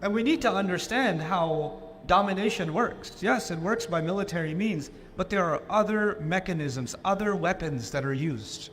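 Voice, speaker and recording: A man from Pakistan giving an animated Islamic lecture to a live audience, often putting on mocking voices for the people he quotes. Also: high-pitched, normal speed, few pauses, a little hiss or hum.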